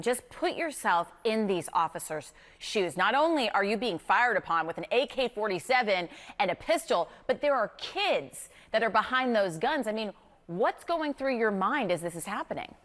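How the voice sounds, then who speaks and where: A woman's voice: medium pitch, a bit bright, in a large hall. A woman with an American accent anchoring live television news and interviewing a guest.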